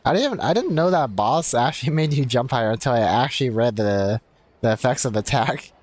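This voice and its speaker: Casual male game streamer, mid pitch, rambling, thinking-aloud live commentary. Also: lively intonation, fast talker, darkish tone.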